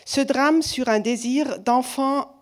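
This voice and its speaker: A woman with a fairly high voice gives an award speech as a jury member. She has a foreign accent, as French is not her first language, and she speaks haltingly, searching for words. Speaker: festival jury member announcing a prize.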